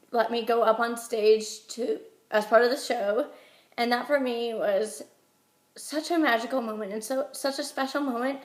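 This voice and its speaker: A young woman with an American accent speaks in a higher-pitched voice, slightly under the weather with a head cold. She answers interview questions in a chatty, halting way. The recording has a clear roomy echo. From Utah, United States. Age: young adult. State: getting over a head cold. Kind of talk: informal phone interview answers.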